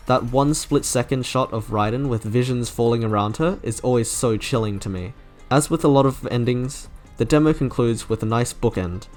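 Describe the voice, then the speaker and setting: A man narrating a scripted, opinionated video review with enthusiasm. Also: mid-range voice. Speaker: video creator reviewing game character trailers. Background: music.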